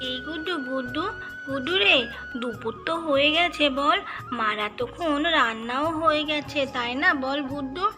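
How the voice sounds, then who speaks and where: Woman voicing an eager, high-pitched little child. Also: normal speed, music in the background, natural voice. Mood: excited, eager. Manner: wheedling, repetitive calling. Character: eager, food-loving little child, chattering and pleading.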